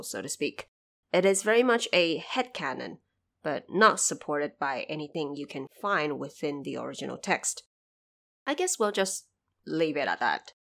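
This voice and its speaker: Low-pitched woman podcast host, very lively intonation. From China. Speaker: podcast host explaining a story. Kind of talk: scripted explanatory narration.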